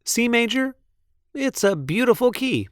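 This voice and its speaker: Male storyteller for a picture book: lively, swooping intonation, unhurried delivery, playful emphasis, close dry sound. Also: extremely high pitch, dry recording. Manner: deliberate, playful emphasis on repeated sentences. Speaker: read-aloud storyteller. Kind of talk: expressive picture book read-aloud.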